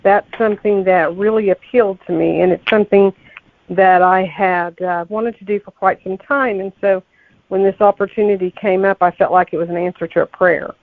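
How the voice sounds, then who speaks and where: Female interview guest on a thin phone-quality line; gravelly edge, conversational, thinks aloud as she goes. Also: medium pitch, steady tone, normal speed, no echo. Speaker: podcast guest, novelist. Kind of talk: casual interview chat. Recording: tinny, phone-like, narrow-band line.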